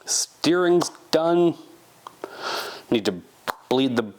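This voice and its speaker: A man's voice: fairly high pitch, expressive, very slow, a little mellow, a little hiss or hum. A congested, sick man casually talking through a project update in his garage workshop, rambling with fillers as he thinks aloud. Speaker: channel host.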